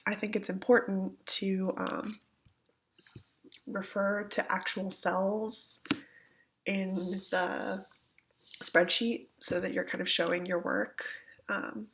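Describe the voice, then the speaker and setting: A woman instructor walks through software step by step in an informal way. Her voice is mid-pitched and gravelly with vocal fry, roughened by a cold she is getting over. She sounds a little hesitant, thinking aloud as she goes. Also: flat delivery, slow pace, long pauses, a little hiss or hum. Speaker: instructor giving a software tutorial. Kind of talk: informal step-by-step screencast walkthrough. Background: computer keyboard typing.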